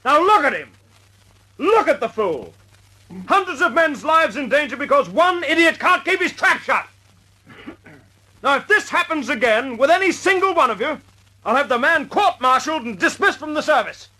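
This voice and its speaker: An actor playing a stern young wing commander in a radio drama, clipped and commanding. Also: male voice, extremely high pitch, sing-song, normal speed, pushed and bright, heavily acted, low-quality recording. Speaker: squadron leader addressing aircrew.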